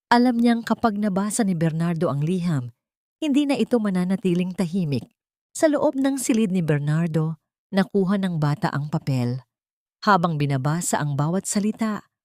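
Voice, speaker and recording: A woman's voice: lowish voice, darkish tone, no echo, studio quality. A woman reading a dramatic scripted story as an audiobook narrator.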